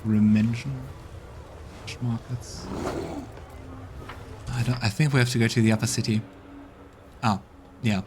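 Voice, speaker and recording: A man hosting a let's play, reading game text aloud and commenting in a relaxed, offhand way. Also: mid-range voice, unhurried, long pauses, noisy background. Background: game music.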